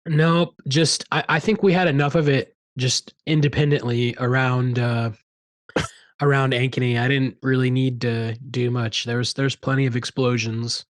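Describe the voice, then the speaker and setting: A man's voice: mid-range voice, moderate pace. Podcast host, man, mid-range voice, easygoing and hesitant. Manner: relaxed, hesitant with fillers. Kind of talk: casual chat.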